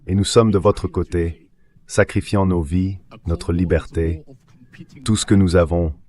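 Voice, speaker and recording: A man speaks in a low-pitched voice. His lines are an interviewee's remarks, delivered as a translated voice-over. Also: fairly even intonation, measured pace, darkish tone.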